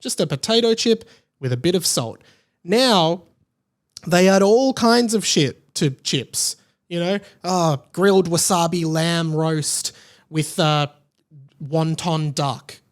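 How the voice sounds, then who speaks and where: Higher-pitched Australian man, casual podcast host. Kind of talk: casual solo podcast talk.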